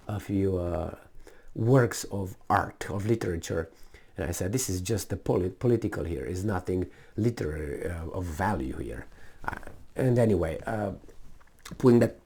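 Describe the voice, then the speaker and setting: Man, Romanian accent, lowish voice, casual political commentator, blunt, emphatic, rambling, repeats himself. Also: a little mellow, breathy edge. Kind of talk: casual unscripted news commentary.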